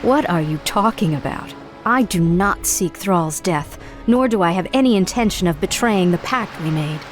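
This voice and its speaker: A woman's voice: on the low side, animated, normal speed, soft background music, natural voice. An actress playing an earnest, principled young noblewoman leader in a video game, speaking formally and with authority. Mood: indignant, firm. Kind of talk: video game cutscene acting.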